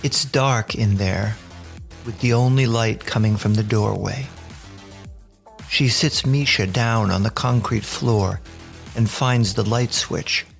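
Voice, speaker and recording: A man's voice: on the low side, takes it slowly, vocal fry. A man narrating a scripted audio drama as the storyteller. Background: music bed.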